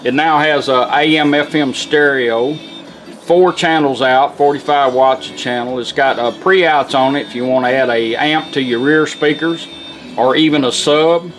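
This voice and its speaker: A man's voice: medium pitch, fairly even intonation, normal speed, a bit bright, soft background music. A man giving an informal, folksy product demonstration, explaining how things work as he goes. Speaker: radio restorer demonstrating a product.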